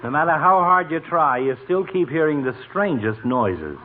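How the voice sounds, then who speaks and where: An actor playing a portly, pompous doctor with articulate, sarcastic speech in a vintage radio comedy. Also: male voice, fairly high pitch, big pitch swings, moderate pace. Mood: urbane, sardonic. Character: portly doctor, pompous, indignant, trading insults. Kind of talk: 1940s radio comedy acting. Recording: scratchy, narrow-band old radio recording.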